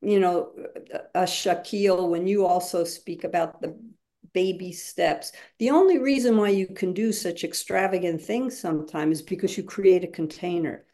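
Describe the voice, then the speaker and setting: A woman's voice: lowish voice, moderate pace, dark tone. A woman speaking as a guest facilitator in a webinar, explaining emphatically and acting out examples as she goes.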